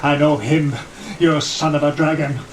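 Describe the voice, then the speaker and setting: A man's voice: on the high side, fairly even intonation, normal speed, natural voice. A man playing a defiant, desperate, God-fearing sea captain, intense and declamatory, in a gothic horror audio drama. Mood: threatening. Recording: echoey room, storm noise behind. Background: rain and thunder.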